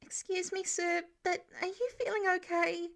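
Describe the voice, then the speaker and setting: A woman's voice: extremely high pitch, fairly even intonation, a little slow, creaky, fairly bright tone, pitched above the performer's own voice, heavily acted. A woman voicing a polite, nervous young man who feigns innocence and hesitates as he talks, in an audiobook. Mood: polite concern. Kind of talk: audiobook character dialogue.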